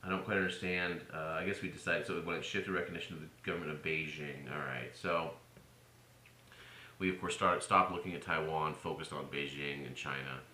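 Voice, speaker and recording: A man's voice: very deep voice, fairly even intonation, fairly quick, pushed and bright. An American man giving an informal political commentary, opinionated and sarcastic in his delivery. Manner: opinionated, sarcastic, swings into mocking exclamations. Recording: roomy echo with faint noise.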